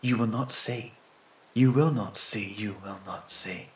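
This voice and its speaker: A man's voice: lowish voice, measured pace, natural voice, rough recording. A man voicing ominous whispering bushes in a story, taunting in a repetitive, whispery chant.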